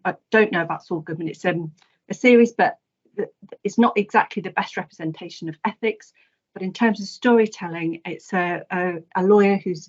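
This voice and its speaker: A woman academic giving a halting, hesitant slide presentation on a webinar. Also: fairly low pitch. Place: online webinar call. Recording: dull lo-fi webinar audio.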